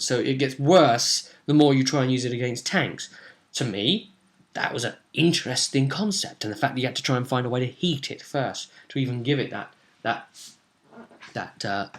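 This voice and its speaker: A young man talks casually as a game master recounting a session. His voice sits at a middling pitch. He rambles and trails off, then leans into dramatic emphasis. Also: a bit bright. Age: young adult. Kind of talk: casual recap of a tabletop role-play session. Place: small indoor room at home.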